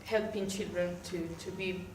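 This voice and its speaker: A woman's voice: lowish voice, fairly even intonation, moderate pace. A woman from southern Spain with a Spanish accent introduces herself to a group in English as a second language, hesitantly searching for words. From Malaga, southern Spain. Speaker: workshop participant. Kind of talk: informal self-introduction to a group. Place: echoey room. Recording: roomy, distant mic, faint noise.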